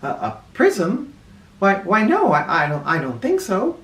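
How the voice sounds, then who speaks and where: A man plays a friendly woman librarian from a children's storybook, lifted high in pitch. She is easily puzzled and halting, and sounds a little away from the mic in an echoey room. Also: wildly swooping pitch, a bit bright, distant mic, slight background noise, full character voice. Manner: halting, repeats words when puzzled.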